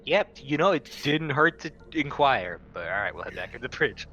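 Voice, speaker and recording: Guy playing an rpg, dry jokey, animated bright voice, faint music under it. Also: medium pitch, normal speed, muffled recording. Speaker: player in a tabletop game. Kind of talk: casual in-character table talk.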